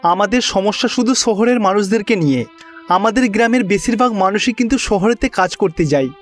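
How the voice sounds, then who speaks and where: A man acts a poor, hardworking villager in an animated folk tale. His voice is high for a man, earnest and full of complaint, over faint music. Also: fairly quick, natural voice. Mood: aggrieved. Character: aggrieved, hardworking poor male villager pleading to his chief. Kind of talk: cartoon story dialogue acting. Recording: music behind the voice.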